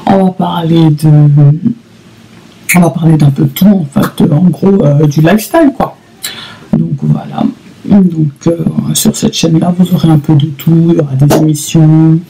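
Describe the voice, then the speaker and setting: Thirty-something woman vlogging, lots of euh and restarts, dark warm voice. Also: low-pitched, fairly even intonation, normal speed, slight background noise, poor audio. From Congo (DRC). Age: 30s. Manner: hesitant, many fillers and repeats. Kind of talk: casual solo vlog to camera.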